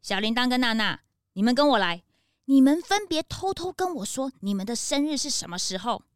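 Brief: actress plays bright-eyed kid animal sidekick, high and very animated, earnest, children's detective drama. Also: female voice, fairly quick, no echo, lightly acted. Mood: earnest, deducing. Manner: inquisitive, excitable, earnest.

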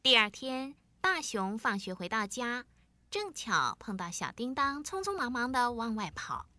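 A woman's voice: fairly high pitch, very animated, a little slow, pushed and bright, no room sound, heavily acted. A woman playing a story narrator in a scripted children's radio drama. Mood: storytelling.

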